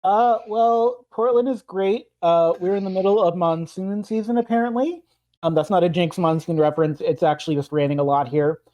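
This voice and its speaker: A man's voice: high-pitched, moderate pace, warm tone, highs cut off. A man, a player on the stream, chatting in an upbeat, witty way about his plans. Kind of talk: casual chat.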